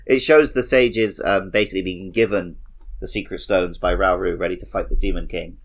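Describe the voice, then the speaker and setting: A man's voice: low voice, steady tone, a little fast. A man co-hosting a podcast, chatting casually about a video game. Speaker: podcast host.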